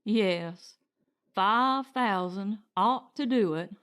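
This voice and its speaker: A woman voicing an evasive, corrupt male director in an audiobook, with slow, wooden politeness. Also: mid-range voice, a bit bright, clear, resonant tone. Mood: calculating. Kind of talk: audiobook character dialogue.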